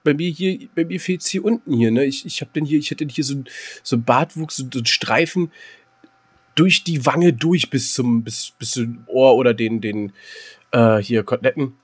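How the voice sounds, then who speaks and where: A man with a mid-range, husky voice talks casually on a podcast. He hesitates often and lets his sentences trail off. He agrees easily with his co-host. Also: mid-range voice, normal speed. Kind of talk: casual chat.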